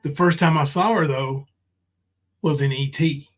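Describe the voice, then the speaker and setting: A man's voice: fairly high pitch, steady tone, a little slow. A middle-aged man hosting a casual livestream, chatting in an informal, foul-mouthed way. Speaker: livestream host.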